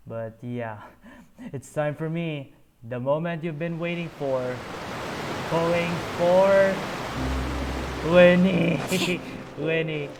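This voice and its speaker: Man, game streamer, slow unhurried talk, halting with many fillers, game music behind. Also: medium pitch. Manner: halting, lots of um and uh. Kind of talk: casual gameplay commentary.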